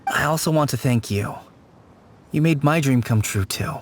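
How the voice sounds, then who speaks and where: A man's voice: medium pitch, moderate pace, close to an everyday voice. A man voicing a grieving middle-aged man who is letting go of an old friend, subdued and earnest. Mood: grateful.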